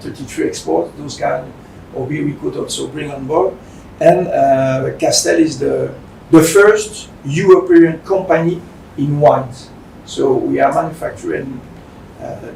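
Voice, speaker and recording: A Belgian man with a French accent speaks haltingly in a semi-formal address. His slightly high voice has a gravelly creak, and it is picked up in a roomy space. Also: a little mellow. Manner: halting, hesitant non-fluent English. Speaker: company managing director. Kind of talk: semi-formal presentation speech. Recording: roomy, distant mic with faint rumbling noise.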